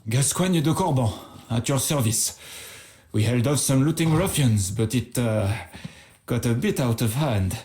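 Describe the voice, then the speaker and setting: An actor playing a devout, gallant knight in a video game, courteous and given to breezy understatement. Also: male voice, mid-range voice, lively intonation, unhurried, darkish tone, lightly acted. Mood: grateful, gallant. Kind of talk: dramatic video game cutscene acting.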